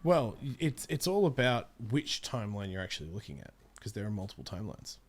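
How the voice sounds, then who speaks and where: A man with a fairly low voice talks casually as a guest in a gaming chat. He explains things in a halting way, rephrasing as he goes. He is close to the microphone in a small room. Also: big pitch swings. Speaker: interview guest, amateur voice actor. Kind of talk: casual chat about video games. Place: small indoor streaming room. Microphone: close studio microphone.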